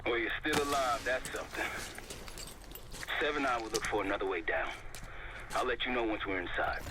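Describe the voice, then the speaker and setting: Clear noise sits behind an actor playing a male covert operative in a cinematic game. His pitch is medium, and he sounds dry and pragmatic. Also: lively intonation, a little fast, natural voice. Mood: dry, practical.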